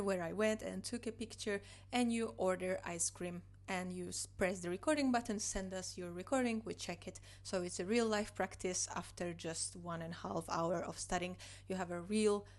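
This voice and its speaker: A young woman speaks English. She is a teacher showing her online course on screen and explains each part patiently, repeating herself and filling gaps with "you know." She is recorded indoors at a desk on a computer microphone. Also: mid-range voice. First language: Polish. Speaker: Polish language teacher. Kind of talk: screen-share course walkthrough.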